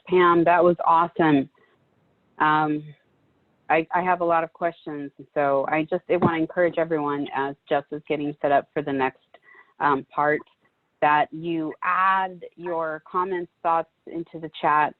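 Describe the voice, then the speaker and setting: Woman moderator, low voice, upbeat, tinny dry line. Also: steady tone, moderate pace, dry recording. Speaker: webinar moderator. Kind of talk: casual moderating. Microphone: video call.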